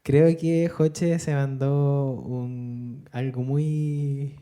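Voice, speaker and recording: Very deep-voiced Chilean woman, mellow. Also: steady tone, drawn-out words. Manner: informal, playful, slangy. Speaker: podcast host. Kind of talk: casual chat.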